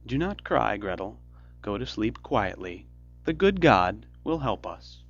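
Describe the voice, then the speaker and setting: Man reading as a gentle storybook boy, music behind. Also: lowish voice, big pitch swings, unhurried, muffled recording, exaggerated voice. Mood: soothing. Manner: soothing, reassuring. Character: gentle young boy comforting his little sister. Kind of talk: fairy tale storybook reading.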